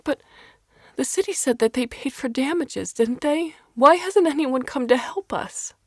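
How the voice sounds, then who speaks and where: A distraught mother, acted by a woman narrator in an audio short story. Her voice climbs high and shrill as she insists and pleads in desperation. The sound is dry and close. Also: expressive, measured pace, fairly bright tone, dry recording, heavily acted. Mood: pleading. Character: desperate, sobbing mother shouting at intruders.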